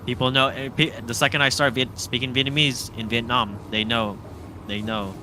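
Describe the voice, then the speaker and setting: A man's voice: medium pitch, fairly even intonation, normal speed, sharp tone. A young man chatting outdoors, giving short, reluctant answers. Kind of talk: casual chat. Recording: noisy outdoor street recording.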